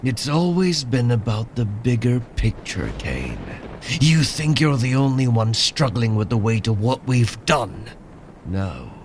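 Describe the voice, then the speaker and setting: An actor playing a cold, controlling cybernetic colonel in a sci-fi audio drama, measured and calmly commanding. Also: male voice, mid-range voice, a little hiss or hum. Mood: cold, menacing.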